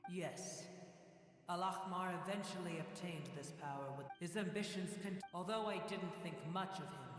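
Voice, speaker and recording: Cavernous echo, male actor as ancient dragon, fairly high male pitch, solemn, grandiose, weary, scornful, video game boss. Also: natural voice. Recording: cavernous echo and long reverb, music behind.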